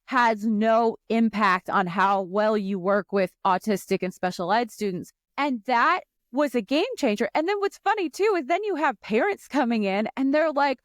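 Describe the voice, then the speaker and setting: A woman's voice: on the high side, expressive, moderate pace, few pauses, no room sound, clean studio sound. A woman who grew up in the Middle East, a co-host and former special education teacher, chatting casually with emphatic asides. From Egypt and the Middle East. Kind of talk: casual panel chat.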